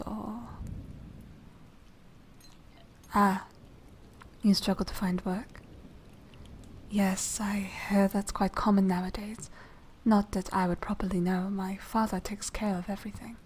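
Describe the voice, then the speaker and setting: A woman playing a polite, secretive young noble vampire lady in a soft, half-whispered ASMR roleplay, speaking formally and with measured care. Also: medium pitch, steady tone, a little hiss or hum, natural voice. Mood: polite, slightly evasive. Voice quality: soft, breathy whispering edge. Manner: hushed, formal, measured, genteel.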